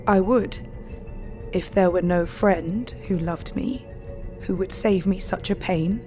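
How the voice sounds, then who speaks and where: Young married woman, sorrowful yet steadfast, unhurried and restrained, audio drama over music. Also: on the low side. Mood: resolute. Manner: slow, earnest, restrained. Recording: music under the voice.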